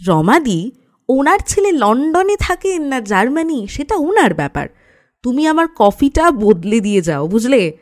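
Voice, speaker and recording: Brisk woman doctor, lively higher voice, acted. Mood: impatient, scolding. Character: busy young female clinic doctor, brisk, reassuring, a little stern. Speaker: voice actor. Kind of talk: audio story dramatic acting.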